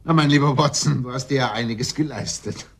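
An actor playing a self-assured, courteous English detective in a German radio drama, urbane and teasing in a formal way. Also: male voice, medium pitch, fairly quick, natural voice. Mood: amused, teasing.

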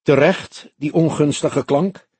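A man voicing a wry old police detective in an audiobook, deliberate, needling and mock-innocent. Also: on the high side, a little mellow, husky, no room sound, voice pushed up. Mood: probing. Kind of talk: Dutch audiobook character dialogue.

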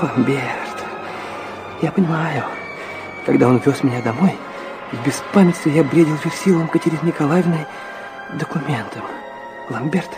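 A man's voice: fairly high pitch, close to an everyday voice. An actor playing a feverish, proud young man, narrating his own torments intensely and theatrically in a radio play. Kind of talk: dramatic first-person radio play narration.

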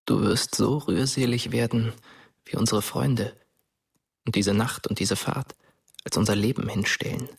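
Casting: sinister ghost of a teenage boy, low gravelly hushed storyteller, radio play with loud music beneath. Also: fairly even intonation, measured pace, heavily acted. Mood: ominous, fatalistic. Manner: hushed, insinuating, often whispering. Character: dead teenage boy, sinister ghostly narrator luring the listener. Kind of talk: hushed atmospheric radio play narration in German.

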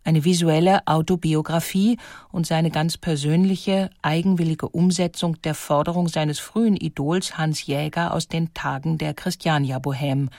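A woman reading a documentary narration in a clear, composed way. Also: deep voice, fairly even intonation, normal speed, darkish tone, no room sound, clean studio sound. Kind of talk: scripted narration.

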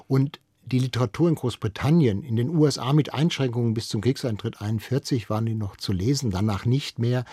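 A man's voice: medium pitch, warm tone, slightly rough, no echo, studio quality. A man giving explanatory interview answers, emphatic and repeating key phrases. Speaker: interviewed cultural radio expert.

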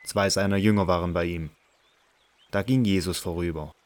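A man narrating scripture in a measured, solemn reading for a radio drama. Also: deep voice, steady tone.

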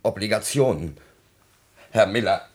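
A stiff, pompous suitor with a secretary's self-importance in a classic radio drama. His husky voice moves through broad swings of pitch as he makes his formal, indignant case. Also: expressive, a little slow, a little mellow. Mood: pompous, offended. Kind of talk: classic German stage drama radio acting.

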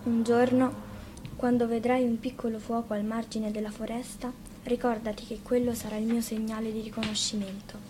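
A woman voicing a tender young boy in a fairy tale, speaking gently and earnestly. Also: a little high, flat delivery, moderate pace, background noise, close to an everyday voice. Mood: earnest, fond. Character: tender young boy saying farewell to animal friend.

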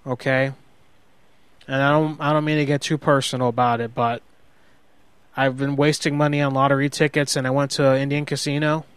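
A man's voice: mid-range voice, little pitch movement, a bit bright, clean tone, no echo, close to an everyday voice. A man playing a hot-headed, sheepish husband in an improvised prank phone call, rambling and justifying himself as he goes. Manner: halting, rambling, self-justifying.

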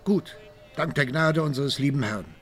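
An actor playing an older, pious and patient Sicilian mafia boss in hiding in a radio crime drama, measured and sententious. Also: male voice, mid-range voice, lively intonation, a bit bright, faint music behind.

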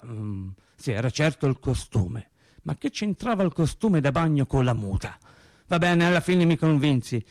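A male actor playing a wry storyteller recalling boyhood with childlike logic, in an expressive, conversational theatrical monologue. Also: mid-range voice, natural voice. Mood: scheming. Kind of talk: theatrical first-person radio monologue.

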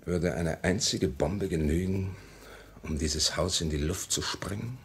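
A hardened revolutionary in vintage German radio drama. He is low-pitched, terse and suspicious, delivering short questions and blunt verdicts with cold severity. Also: unhurried, creaky. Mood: grim, fanatical. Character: grim, hardened, suspicious male revolutionary terrorist. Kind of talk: 1950 German radio play acting.

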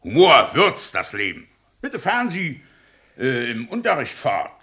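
Raspy, swooping, pompous old official. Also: male voice, mid-range voice, wildly swooping pitch, unhurried, pushed and bright, heavily acted. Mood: affable, pompous. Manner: hesitant, echoes his own phrases, pompous. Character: dithering, self-important older senior school inspector. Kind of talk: comic radio play acting.

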